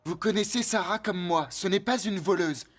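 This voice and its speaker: A man acts a fair-minded cartoon boy who keeps the peace. His voice is high for a male, with clear noise in the background. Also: moderate pace, lightly acted. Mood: firm, placating. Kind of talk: dubbed animated series acting.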